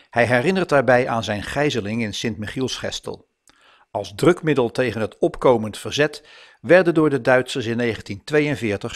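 Mid-pitched male documentary narrator. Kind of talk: scripted historical narration.

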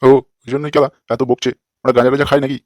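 A man plays a lecherous fake sadhu in a comic cartoon. He rattles on extremely fast at a middling pitch, brash and foul-mouthed, scolding everyone in a dry, close recording. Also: flat delivery, dry recording, natural voice.

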